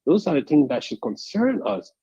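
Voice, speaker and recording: A man calling in to a radio talk show, speaking in a deliberate, measured way that builds to emphatic points. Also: on the high side, big pitch swings, dark tone, husky, dry recording, highs cut off. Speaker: caller. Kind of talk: call-in radio commentary.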